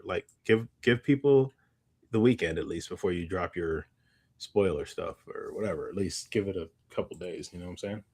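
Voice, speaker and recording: A man with a fairly low voice talks casually as a podcast co-host. He feels his way through sentences in a loose, slangy manner, often circling back as he goes. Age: adult, parent of young child. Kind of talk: casual chat.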